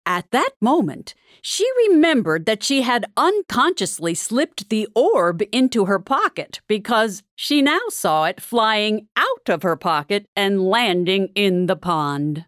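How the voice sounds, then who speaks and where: A woman narrating a storybook tale from a script in a dramatic, deliberate manner. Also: mid-range voice, sing-song, fairly bright tone, dry recording, clean studio sound.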